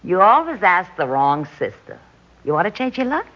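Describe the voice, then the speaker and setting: An actress in a 1960s radio drama playing a brash, sassy divorced waitress who wisecracks in slangy talk. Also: female voice, on the low side, sing-song, moderate pace. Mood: needling. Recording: dull, lo-fi old radio recording.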